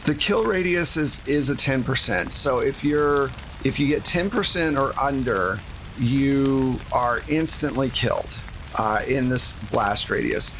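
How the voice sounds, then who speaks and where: A man's voice: medium pitch, animated, a little slow, a bit bright, low-quality recording. Casual man running a tabletop game, faint noise. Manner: casual, conversational. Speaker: game master. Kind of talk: narrating a tabletop roleplaying game.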